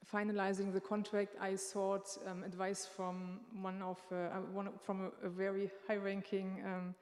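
A woman giving unscripted church announcements in a church sanctuary, halting and pausing often as she speaks into a microphone. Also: mid-range voice, darkish tone. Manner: halting, with many filler pauses. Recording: long reverb of a large hall.

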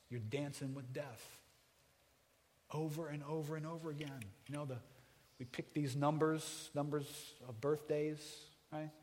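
A man in his forties preaches a sermon with a slightly high voice. He talks conversationally and jokes with the congregation, dropping to a hush and then rising loud. The sound carries a long reverb from the hall. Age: 40s. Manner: conversational, joking with the congregation, swings from hushed to loud. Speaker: pastor.

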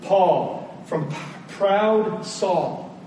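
A man's voice: high voice, expressive, very slow, dark tone. A middle-aged man preaching a sermon in a conversational way, putting rhetorical questions to his listeners. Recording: very echoey room, distant mic, faint noise.

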